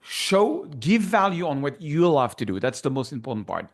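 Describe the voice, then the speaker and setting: A man's voice: fairly high pitch, very animated, normal speed. A middle-aged man with a French accent, a guest in a casual interview, talking in an enthusiastic, rambling way full of fillers and restarts. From France. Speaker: guest photographer.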